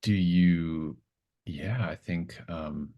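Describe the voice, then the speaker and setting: A man's voice: sits low, drawn-out words, mellow, dull audio. A man acting as game master, improvising tabletop narration in a hesitant, thinking-aloud manner.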